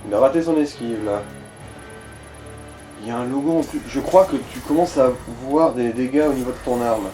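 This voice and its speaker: A man's voice: medium pitch, fairly quick, mellow, faint music behind. Man chatting as gaming co-commentator. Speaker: co-commentator advising the player. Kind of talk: casual gaming chat. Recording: faint background noise.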